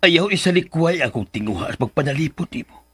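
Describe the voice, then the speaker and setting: A man's voice: a little high, lively intonation, brisk, fairly bright tone, breathy edge, no echo. A man playing an earnest, protective older father, solemn and pleading, in a radio drama.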